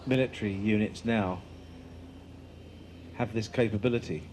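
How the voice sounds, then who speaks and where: A man's voice: fairly low pitch, normal speed. A man giving casual, unscripted live commentary as an airshow commentator. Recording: loud engine noise, outdoor airfield.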